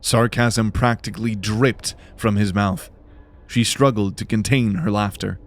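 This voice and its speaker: A man's voice: on the low side, fairly even intonation, unhurried. A man narrating fantasy fiction in a scripted, dramatic storytelling style. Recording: music behind the voice. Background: music.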